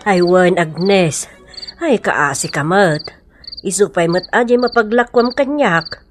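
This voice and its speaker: A woman's voice: fairly low pitch, slow, over music. A woman playing a kind older village auntie in an Ilocano radio drama, sympathetic and motherly, with an Ilocano accent.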